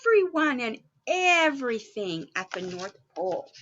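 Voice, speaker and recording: A woman's voice: fairly high pitch, very animated, unhurried, a bit bright, lo-fi sound, full character voice. A woman playing a cheerful, motherly Mrs Claus, reading a story aloud to young children and putting questions to them. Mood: gentle storytelling. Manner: exclaiming, addressing young children directly with questions.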